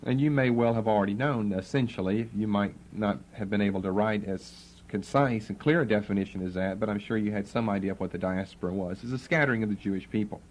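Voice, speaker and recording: A man giving a Bible lecture to a class, spelling out words letter by letter and repeating key terms slowly. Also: lowish voice, a little hiss or hum. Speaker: Bible teacher. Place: classroom with students writing.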